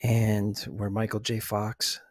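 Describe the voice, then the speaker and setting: A middle-aged man talking softly and unhurriedly in a relaxed, reflective ASMR chat, with a slightly husky voice. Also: on the low side, slow pace, dry recording, clean studio sound, faint music behind. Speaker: ASMR video host.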